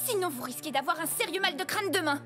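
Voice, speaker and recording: Feisty young cartoon heroine, very high and lively, chatty and indignant, music behind. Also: female voice, fast talker. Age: young adult. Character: feisty, talkative young sister of the hero. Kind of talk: French cartoon dubbing. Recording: music under the voice.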